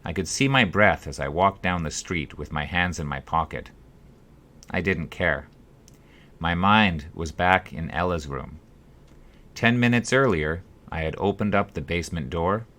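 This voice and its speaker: A man reading his own first-person story as an audiobook, with measured, deliberate phrasing. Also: low voice, animated, sharp tone. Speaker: author reading own book.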